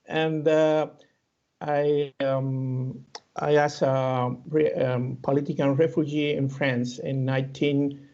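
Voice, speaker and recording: Interview guest, male, Cuban Spanish accent, slow and halting, raised pitch, gravelly, dull lo-fi sound. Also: level tone, darkish tone. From Cuba. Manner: halting, many fillers and restarts. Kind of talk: hesitant conversational interview answers. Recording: dull lo-fi audio.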